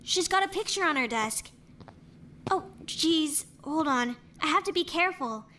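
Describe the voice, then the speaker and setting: A woman playing a casual, gently curious young investigator in an animated murder mystery. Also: high-pitched, normal speed, a little hiss or hum. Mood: thoughtful, careful.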